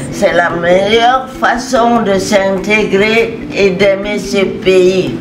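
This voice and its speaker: An older, retired woman from Cameroon introducing herself informally as a community elder, speaking deliberately phrase by phrase. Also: medium pitch. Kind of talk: informal spoken self-introduction. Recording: roomy, distant mic, clear music behind.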